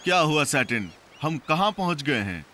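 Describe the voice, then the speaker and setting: Bright, animated, puzzled cartoon sidekick. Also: male voice, on the high side, normal speed, slight background noise. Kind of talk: Hindi cartoon dub.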